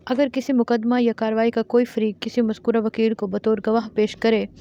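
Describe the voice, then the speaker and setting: A woman teaching law, reading each statute out slowly, word by word, and then explaining it in plain terms. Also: a little high, flat delivery, dark tone, dull audio. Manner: reads English legal text slowly word by word, then explains in Urdu. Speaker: law lecturer.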